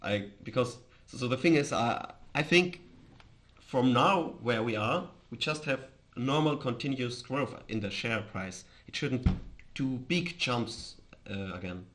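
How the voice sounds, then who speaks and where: A man from Austria with an Austrian accent and German as his first language, chatting casually on a livestream in a hesitant, halting way. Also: medium pitch, lively intonation, fairly bright tone, slight background noise. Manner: hesitant, halting, chuckles often. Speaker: live streamer, host.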